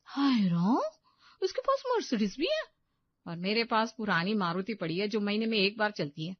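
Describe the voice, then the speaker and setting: A woman's voice: mid-range voice, sing-song, normal speed, dry recording, lo-fi sound. A woman voicing an envious, discontented young housewife in a storybook tale, whining and exclaiming with self-pity. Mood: envious, sulky.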